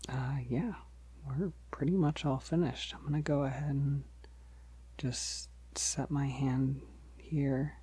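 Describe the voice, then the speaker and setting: A man playing a polite, gentle, shy vampire in an ASMR roleplay, speaking softly and hesitantly to reassure the listener, often near a whisper. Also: medium pitch, husky, poor audio. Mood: soft, grateful. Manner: hesitant, reassuring, halting with soft chuckles.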